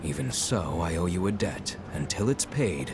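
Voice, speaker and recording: A man's voice: low-pitched, big pitch swings, normal speed, gravelly, full character voice. A man voicing a stoic, dignified and loyal companion in a video game, speaking formally. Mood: solemn. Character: stoic, deep-voiced male beast companion, solemn and loyal. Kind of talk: scripted video game dialogue. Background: loud music.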